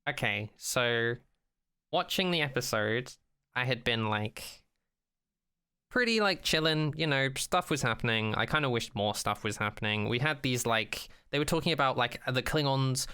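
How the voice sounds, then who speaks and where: A man co-hosting a podcast in casual, opinionated chat, animated and sardonic, with a mock-dramatic streak. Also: medium pitch, moderate pace, clear, resonant tone. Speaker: podcast co-host.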